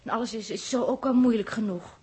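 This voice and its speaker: An actress playing a spirited, sharp-tongued female space traveller, teasing and indignant, in a 1960s Dutch radio drama. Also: fairly high pitch, fast talker, slightly rough.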